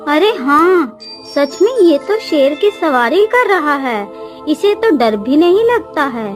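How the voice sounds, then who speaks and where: A woman voicing an amazed, excitable village onlooker in a cartoon. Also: high-pitched, slow pace, music in the background, pitched above the performer's own voice, heavily acted. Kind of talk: Hindi cartoon story dialogue.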